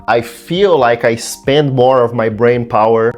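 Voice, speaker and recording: A man's voice: mid-range voice, a little slow, faint music behind. A young man whose first language is Brazilian Portuguese, talking casually to camera about his language study routine, thinking aloud with fillers. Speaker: language learning youtuber.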